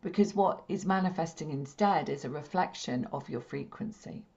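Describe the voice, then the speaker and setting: A woman with a slightly hoarse, croaky voice speaks slowly and gently, repeating her points in a soothing way as she leads a spiritual healing session. Her voice sits low with a gravelly edge. The live-stream audio sounds dull and lo-fi. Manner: gentle, repetitive, soothing delivery. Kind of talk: slow meditative teaching talk.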